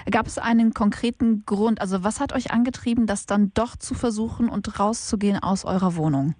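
A woman's voice: medium pitch, brisk, a little mellow, no room sound, clean studio sound. A woman hosting an interview, asking fluent, probing questions. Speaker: host.

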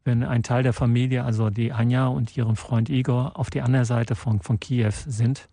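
A man born in Portugal and raised in the Netherlands, guesting in a radio studio and answering haltingly with many restarts and fillers. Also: mid-range voice, level tone, warm tone, no room sound. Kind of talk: radio interview.

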